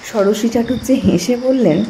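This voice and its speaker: A woman narrating a scripted literary story in an expressive, measured storytelling manner. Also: a little high, a little hiss or hum, low-quality recording. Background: music.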